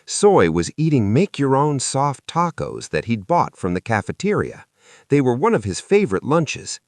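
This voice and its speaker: Animated male storyteller with very lively pitch. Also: moderate pace, no echo. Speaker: story narrator. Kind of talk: scripted first-person children's audiobook narration.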